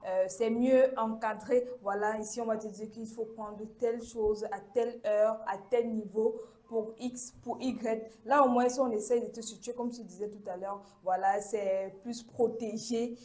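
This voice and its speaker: A woman's voice: on the high side, steady tone, normal speed, warm tone. A woman hosting a campus talk show, steering the debate with questions and fillers. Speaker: host.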